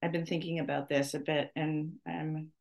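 A middle-aged woman hosting a podcast, speaking off the cuff and haltingly, often searching for her words. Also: low voice, little pitch movement, gravelly, a little mellow. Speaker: podcast host. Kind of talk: off-the-cuff spoken reflection.

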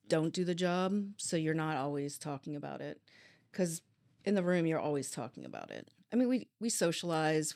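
A woman's voice: deep voice, a little mellow, no room sound. A woman who works as a police dispatcher, answering interview questions openly and reflectively, with frequent restarts. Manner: open, reflective, halting restarts.

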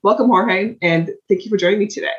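A woman's voice: medium pitch, fast talker. A young woman hosts a podcast interview in a casual, hedging manner full of fillers. The audio sounds dull and lo-fi. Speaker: podcast host.

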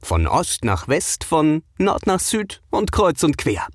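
Storyteller, children's audio story, lively melodic intonation, husky edge, dry close recording, scripted narration. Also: medium pitch, slow pace, no echo.

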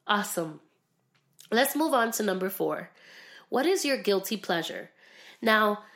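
A young adult woman hosting a podcast solo, talking casually in an effusive, earnest way. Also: mid-range voice. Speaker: podcast host.

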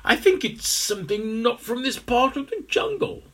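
A man voicing a vain, short-sighted elderly male gorilla in a storybook, speaking slowly and high. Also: very animated, a bit bright, heavily acted. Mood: puzzled.

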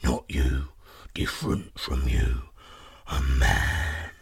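A man voicing a monstrous, gullible but fond giant in a storybook tale, with a deep, booming voice and slow, heavy delivery. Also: flat delivery, creaky, slightly rough, heavily acted. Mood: menacing, suspicious.

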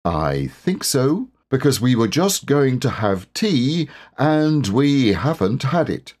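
A man voicing a humble, slow-witted, good-natured bear in a storybook, speaking in a hesitant, muddled way. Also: mid-range voice, sing-song, a little mellow, pitched above the performer's own voice. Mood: puzzled.

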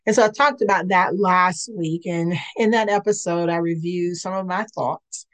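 A woman hosting a faith-based podcast, speaking in an earnest, conversational way, her voice a little raspy today. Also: fairly low pitch, moderate pace, fairly bright tone. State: slightly raspy voice. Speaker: podcast host and licensed clinician.

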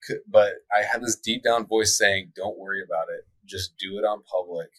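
A young man with a lowish voice talks through his plans in a relaxed, rambling podcast chat. He fills his sentences with likes and ums and keeps an easy, upbeat tone. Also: moderate pace, slightly rough, no echo, poor audio. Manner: laid-back, many likes and ums, faith talk.

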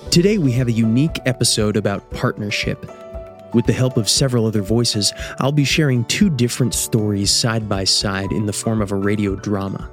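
A man hosting and narrating a radio drama as a measured, scripted storyteller. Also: fairly low pitch, soft background music. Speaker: host and narrator.

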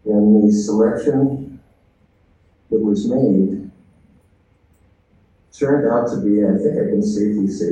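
A man who serves as a school board member, making measured, hesitant remarks at a public meeting. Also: on the low side, warm tone. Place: indoor public meeting room. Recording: very echoey meeting room, distant, faint noise.

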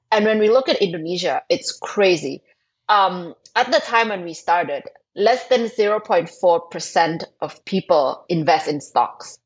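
Husky woman from Thailand, guest. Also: fairly low pitch, rough recording. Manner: animated, emphatic explainer. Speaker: startup co-founder guest. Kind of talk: interview answers.

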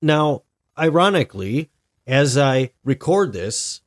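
A man talks alone into his podcast mic, a little stuffy and run down from being sick. He speaks at a middle pitch and thinks aloud in a measured, deliberate way, often doubling back on his words. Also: very animated. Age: adult, married. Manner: deliberate, reflective, with frequent restarts. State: stuffy, sick with a cold-like illness. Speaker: podcast host. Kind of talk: solo podcast monologue.

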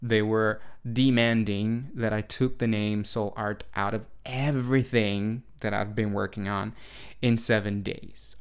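A man's voice: lowish voice, clear, resonant tone. A man whose first language is Portuguese, hosting a solo podcast and talking in a reflective, meandering way with many fillers and restarts. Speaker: podcast host.

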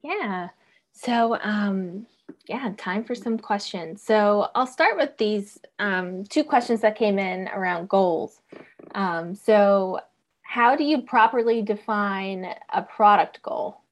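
A woman's voice: medium pitch, takes it slowly. Woman moderating a webinar. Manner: friendly, many "um" fillers. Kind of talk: hosting a webinar.

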